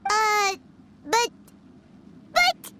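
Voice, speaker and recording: Video game fairy sidekick, tiny girl: extremely high, slow, naive and timid, loud ambience behind. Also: expressive, heavy background noise, exaggerated voice. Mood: flustered. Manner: hesitant, childlike, speaks of self in third person. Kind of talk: English video game voice acting.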